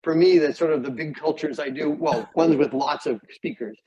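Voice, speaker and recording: A man's voice: a little high, normal speed, a loud crowd. A man who is a native English speaker, lecturing as a psychology professor in plain, short, emphatic sentences. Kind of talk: academic lecture and discussion. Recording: dull, muffled lo-fi audio.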